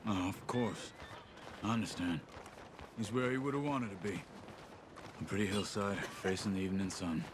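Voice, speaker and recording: Man playing weary thinking outlaw, game drama, low-pitched, measured and wry, noisy background. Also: close to an everyday voice. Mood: calm, confiding. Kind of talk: cinematic game dialogue.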